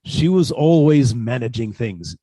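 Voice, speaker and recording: An actor playing a mild, henpecked older botany professor with a halting, polite manner in a radio suspense drama. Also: male voice, medium pitch, very animated, warm tone, husky, no echo. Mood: quietly scheming.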